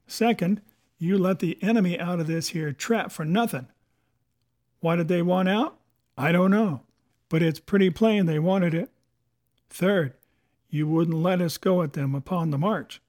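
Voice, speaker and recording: A man's voice: high voice, a little slow, long pauses, darkish tone. A man voicing an insolent, accusing pirate mutineer in a storybook, with blunt rustic sailor's talk. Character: rebellious, accusing long lean pirate mutineer George Merry. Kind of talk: dramatic audiobook character voice.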